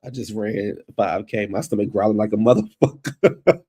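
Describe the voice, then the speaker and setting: A man's voice: fairly low pitch, expressive, moderate pace, dark tone, breathy edge. A man with a stuffed-up nose and a fading voice, chatting casually and rambling as a vlog host at his computer. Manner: rambling, loose and chatty, calls listeners baby. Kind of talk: casual solo chat. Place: at home at a computer.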